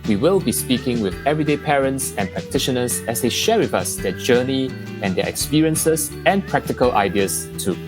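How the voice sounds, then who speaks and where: A male podcast host with a mid-range voice reads his opening script in a measured, polished manner. He adds light emphasis to key words. Faint music plays beneath him. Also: medium pitch, animated.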